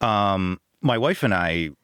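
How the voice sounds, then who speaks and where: A man co-hosting a podcast in casual chat, animated, laughing loudly and trailing off mid-thought. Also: lowish voice, takes it slowly, smooth, no echo, studio quality. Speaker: podcast co-host.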